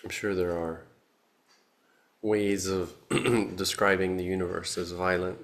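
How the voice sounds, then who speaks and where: Man, meditation guide, very monotone, slow unhurried delivery, long pauses. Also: sits low. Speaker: meditation teacher.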